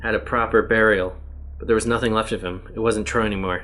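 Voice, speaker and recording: A worn-out man lost for countless years in a strange world, his words coming low and steady, as a man reads him in his own voice. The tone sits a little deep and never strains. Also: fast talker, natural voice. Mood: weary. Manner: plain, even reading of written tablets. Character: weary male test subject lost ages in another world.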